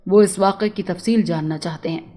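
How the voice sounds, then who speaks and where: A storybook police chief, acted by a woman, fatherly yet official. The voice is on the low side and very mellow. The phrasing is formal and approving. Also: brisk, natural voice. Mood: proud, official.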